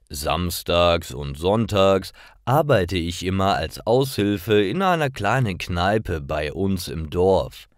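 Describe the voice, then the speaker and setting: A man narrates simple stories for language learners in a very deep voice. He reads slowly and carefully, with expressive rises and falls in his intonation. Each short sentence is delivered deliberately as scripted narration. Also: no echo, studio quality.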